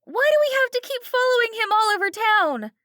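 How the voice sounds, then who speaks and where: A woman's voice: sing-song, fairly quick, bright, forceful tone, dry recording, clean studio sound, exaggerated voice. A woman voicing a distressed young daughter who whines and protests in an audiobook. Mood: upset pleading. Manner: whiny, high-strung protesting. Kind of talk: audiobook character acting.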